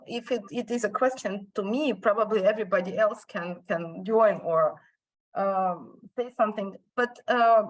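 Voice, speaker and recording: A woman's voice: fairly high pitch, low-quality recording. A woman panelist answering carefully and with hesitation.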